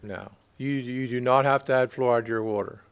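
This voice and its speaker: An American man, a borough utility official, answering questions at a public meeting in a conversational, halting way, often repeating himself. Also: mid-range voice, fairly even intonation, clean tone. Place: public council meeting room.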